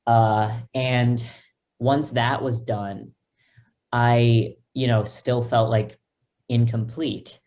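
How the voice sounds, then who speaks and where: A young man with a mid-pitched voice tells his own story in an interview. He speaks haltingly and often stops to find his words. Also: steady tone, a little mellow. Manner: halting, hesitant. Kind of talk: personal testimony.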